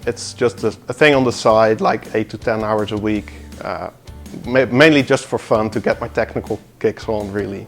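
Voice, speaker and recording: A man's voice: on the low side, steady tone, normal speed. A man from the Netherlands, talking easily about hobby bug hunting in an interview. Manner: easygoing, small restarts. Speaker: bug bounty hunter. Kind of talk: casual interview answers. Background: faint music.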